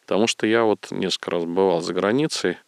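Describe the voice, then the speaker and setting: A middle-aged male interviewer with a very low, gravelly voice, talking in an easy conversational way and spinning long questions and anecdotes. The sound is dry and close to the mic. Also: a little fast, no echo. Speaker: interview host. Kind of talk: radio-style interview.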